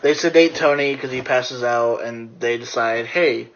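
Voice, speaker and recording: A man with a mid-pitched voice talks through a film recap in a casual, blunt style. He swears freely and keeps it informal, like a reviewer chatting with a co-host. The sound is a bit dull and lo-fi. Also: measured pace, fairly bright tone, a little hiss or hum. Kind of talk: casual movie recap chat.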